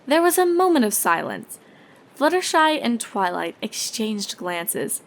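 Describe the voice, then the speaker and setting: A woman's voice: fairly high pitch, sing-song, unhurried. A woman reading scripted narration of a comic story as the storyteller.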